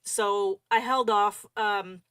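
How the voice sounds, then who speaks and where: A woman's voice: medium pitch, steady tone, slow, fairly bright tone, clear, resonant tone, no echo. Chatty woman hosting a livestream, dry sound. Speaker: livestream host. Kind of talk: casual livestream chat.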